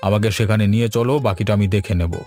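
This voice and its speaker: A man playing an authoritative, principled village gentleman who loves food, speaking firmly in a cartoon. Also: on the low side, fairly even intonation, racing, lightly acted. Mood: firm, assured. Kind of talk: Bengali cartoon voice acting. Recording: music behind the voice.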